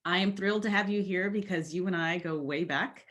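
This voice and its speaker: A woman's voice: on the low side, a little fast, a bit bright. A woman hosting a podcast over a remote call, welcoming her guest in an enthusiastic way with some fillers. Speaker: podcast host. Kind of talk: podcast interview.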